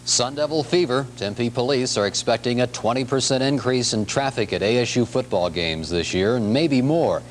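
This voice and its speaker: A man's voice: mid-range voice, normal speed, few pauses, slight background noise. An American man delivering a local news report on 1970s television. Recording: 1970s TV broadcast tape.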